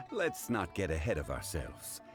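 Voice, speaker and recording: A composed scholarly man with a very deep voice that still moves gently in pitch. He talks in formal, modest, measured sentences. Game music sits behind him. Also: huge pitch swings, exaggerated voice. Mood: calm. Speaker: funeral parlor consultant.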